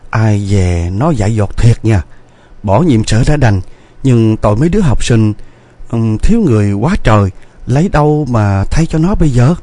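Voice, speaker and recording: Casting: flustered older country father played by a man, southern Vietnamese accent, lively swings, mellow tone, feigned worry, audio story. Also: medium pitch, no room sound. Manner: flustered, play-acting. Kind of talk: dramatised audio story acting.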